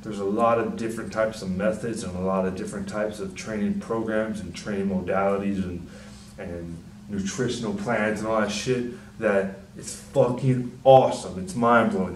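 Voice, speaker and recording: Deep-voiced, soft-spoken young man. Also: reverberant, far from the mic, slight background noise, poor audio. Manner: laid-back, rambling, frequent swearing and fillers. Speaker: personal trainer and channel host. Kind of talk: casual fitness advice monologue.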